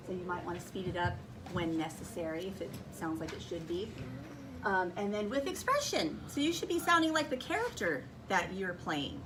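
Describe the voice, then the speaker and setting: A woman's voice: fairly low pitch, very animated, normal speed, far from the mic. A woman teacher giving classroom instructions and often checking understanding with questions. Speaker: elementary school teacher. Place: indoor school classroom. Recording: noisy classroom with clear background noise.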